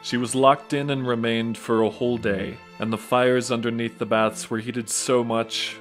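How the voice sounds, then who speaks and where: Man, scripted storytelling narration, mid-pitched voice, quiet music underneath. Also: steady tone, normal speed.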